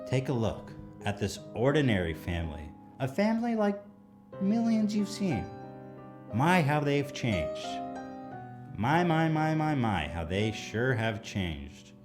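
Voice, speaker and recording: A man's voice: mid-range voice, big pitch swings, slow. A man narrating a comic online video in a mocking, playful, sing-song way. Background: music playing.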